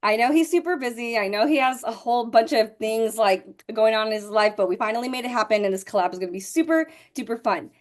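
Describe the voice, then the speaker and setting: Female podcast host, early thirties, higher-pitched voice, upbeat and slangy, casual interviewing. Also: fast, hardly any pauses. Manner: slangy, enthusiastic.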